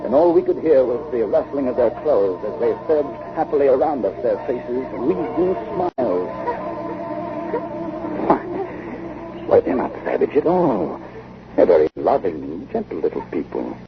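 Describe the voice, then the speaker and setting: An actor playing a curious, uneasy gentleman time traveller, telling his journey in a deliberate, dramatic vintage radio drama style. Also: medium pitch, big pitch swings, music in the background. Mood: gently intrigued. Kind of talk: 1940s-50s radio drama acting and narration. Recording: dull vintage radio recording.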